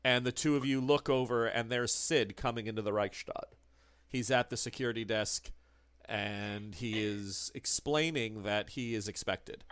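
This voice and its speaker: A man narrating a tabletop game as game master, relaxed and quick to laugh. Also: mid-range voice, smooth, dry recording.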